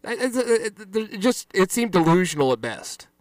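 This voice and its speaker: Radio host guy, dry close studio mic, fairly high voice, stumbles and restarts but keeps pushing. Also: moderate pace, no room sound. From Mexico. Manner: animated, stammering restarts, candid. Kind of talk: casual sports radio interview.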